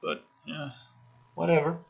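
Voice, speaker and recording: A man reading a film script dramatically and breaking off for sarcastic, profane commentary, with a rough-edged voice. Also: on the high side, little pitch movement, measured pace, phone-quality sound.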